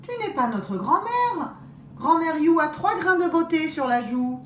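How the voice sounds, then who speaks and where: A woman's voice: high-pitched, sing-song, unhurried, a little hiss or hum, voice pushed up. A woman voicing a wary, firm eldest little girl in a storybook. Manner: firm, suspicious. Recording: roomy echo.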